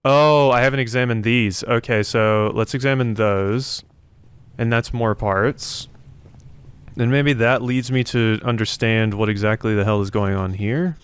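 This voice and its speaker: A man's voice: mid-range voice, fairly even intonation, a little slow, smooth. A man giving casual gameplay commentary, thinking aloud and questioning himself as he goes. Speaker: let's play host.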